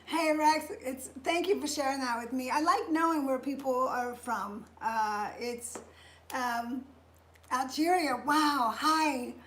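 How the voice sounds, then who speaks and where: Woman, fifties, live-stream fitness host; high voice, slow and chatty, greets viewers by name, roomy echo. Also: fairly bright tone, a little hiss or hum. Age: 50s. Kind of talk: live-stream casual talk. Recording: echoey room.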